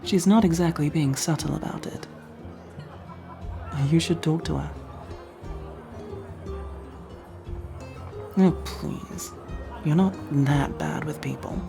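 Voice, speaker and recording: A wry, loyal elf rogue woman, acted by a female performer, speaks slowly with a very creaky, gravelly grain. She is casual and dryly teasing, in the intimate style of fantasy ASMR roleplay. Faint music plays behind her. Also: deep voice. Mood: teasing, encouraging.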